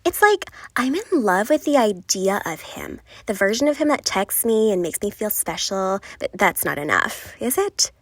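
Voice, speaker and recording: A woman playing a lovelorn, frustrated passenger venting confessionally in an animated series. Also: medium pitch, normal speed, few pauses, no room sound. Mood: wistful, frustrated.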